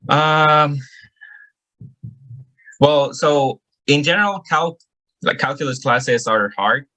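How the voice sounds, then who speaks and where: A man's voice: a little high, slow pace, pushed and bright, low-quality recording. A young man from El Salvador with a Salvadoran Spanish accent, earnestly answering questions on a video call and often repeating words as he searches for phrasing. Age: young adult. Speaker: guest student.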